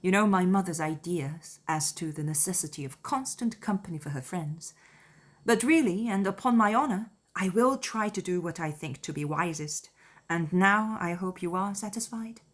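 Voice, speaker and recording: A witty young lady of a period storybook, played by a woman, spirited and playful yet sincere. Her delivery is animated and emphatic, in a voice that sits a little low. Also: normal speed, natural voice. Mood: agreeable, sincere. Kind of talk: dramatized audiobook character dialogue.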